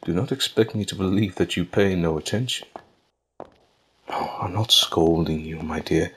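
A man playing a patient, gentle older king in an audio drama, with deliberate, formal diction. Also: low-pitched, slightly rough. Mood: patient, explaining.

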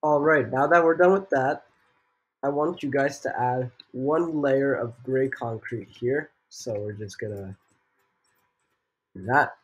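Husky young guy, sick-sounding tutorial voice. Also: mid-range voice, takes it slowly, muffled recording. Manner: rambling, lots of fillers, jokey asides. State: sick, voice a bit off. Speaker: gaming tutorial host. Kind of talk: casual building tutorial voice-over.

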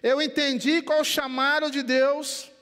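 Older man, Rio de Janeiro accent, pastor, high voice, animated sermon, long church reverb. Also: a little slow. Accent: Rio de Janeiro Portuguese. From Brazil. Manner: animated preaching with wide gestures, swings between quiet and loud. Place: church sanctuary. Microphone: headset.

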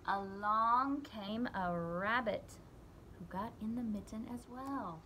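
A woman's voice: mid-range voice, slow pace, pushed and bright, slight background noise. A young woman reading a picture book aloud to small children, in simple phrases with emphasized key words. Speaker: children's read-aloud storyteller.

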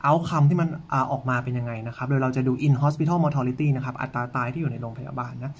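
A man's voice: medium pitch, a little fast, slight background noise. A young man from Thailand, a medical intern, talking in a casual, polite vlog style and explaining things step by step to a handheld phone camera. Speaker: medical intern vlogging his research stay. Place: indoors in a library and outdoors in snow. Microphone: handheld phone camera, selfie framing. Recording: voice over background music.

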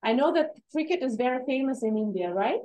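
A woman presents to a class over a video call. She speaks in a fairly high voice and explains things patiently, with many fillers. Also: lively intonation, brisk, warm tone. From Uzbekistan. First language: Russian. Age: 30s. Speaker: English teacher.

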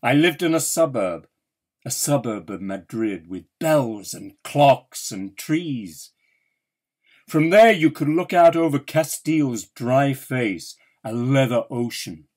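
A man, an actor, reciting a poem dramatically in a measured, theatrical way with emphatic pauses. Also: mid-range voice, expressive, darkish tone.

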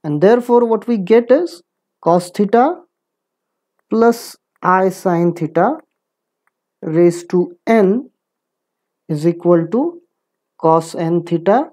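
A woman teaches a maths lesson in a soft, mellow voice that sits a little low. She is deliberate and instructive, taking the listener through each step in order. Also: expressive. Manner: deliberate, instructive, poses questions and answers them. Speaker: physics lecturer. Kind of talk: scripted maths lecture, explaining step by step.

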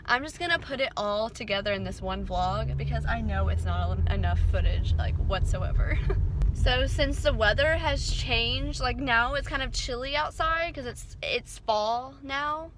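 A woman's voice: a little high, measured pace. A young woman, talking casually to her phone camera in her car, rambling and bubbly with lots of "like" fillers. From Texas. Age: young adult. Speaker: vlogger. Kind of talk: casual chatty vlog. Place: parked and driving car. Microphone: phone camera held at arm's length. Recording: phone audio with car and street noise.

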